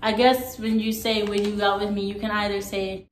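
A young woman with a faint Jamaican accent chats casually to her camera, throwing in sassy asides. Her voice sits at an ordinary middle pitch. The small room at home adds a noticeable echo. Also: completely flat delivery, fairly quick, a little hiss or hum. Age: young adult. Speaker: vlogger. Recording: echoey room.

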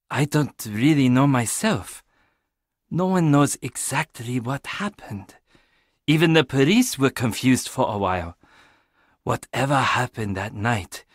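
Animated geeky young tech tinkerer. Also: male voice, mid-range voice, no room sound, studio quality. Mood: subdued, guarded. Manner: animated, self-deprecating, rambling. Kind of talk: video game character dialogue.

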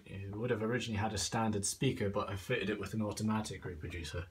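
Man, lowish pitch, casual collector narration, understated, informal, handheld phone recording, slight background noise. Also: steady tone, fast talker. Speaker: antique phonograph collector. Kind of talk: casual show-and-tell narration. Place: small carpeted room at home. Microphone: handheld phone or camera, speaker off screen. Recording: noisy handheld recording with clicks.

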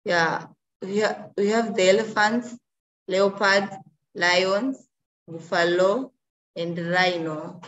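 A woman presents slides at an unhurried pace, ticking off items one at a time with pauses. Her voice comes through a dull, lo-fi call line with a faint hiss behind it. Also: medium pitch, a bit bright. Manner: slow, listing items with pauses. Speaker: student presenter. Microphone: video call.

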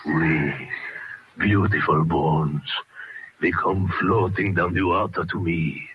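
An actor playing a gloating, deranged old Frenchman with a French accent in a radio horror play, drawn-out and theatrical. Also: low voice, steady tone. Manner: theatrical, drawn-out, chuckling, coaxing with "eh?". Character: mad, gloating old Frenchman who cleans bones. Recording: dull, noisy vintage radio recording.

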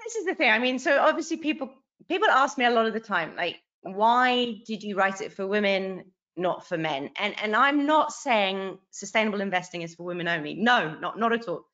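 A woman in her forties talks earnestly through a video call, animated and quick to restart her sentences. Her voice sits in a middle register. The webcam audio is dull and lo-fi. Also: mid-range voice. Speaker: author and guest. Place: bright home room.

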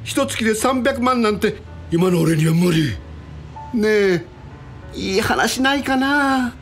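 Woman playing a hapless, animated young man. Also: medium pitch, takes it slowly, soft background music. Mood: helpless. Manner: casual, flustered. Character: hapless, lovestruck young man, easygoing and eager. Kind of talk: radio drama acting.

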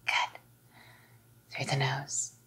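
A young woman performing a whispered ASMR role-play as a possessive girlfriend, coaxing and softly commanding, close to the microphone. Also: drawn-out words. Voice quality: breathy whisper. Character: possessive psycho girlfriend playing nurse. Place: small dim indoor room.

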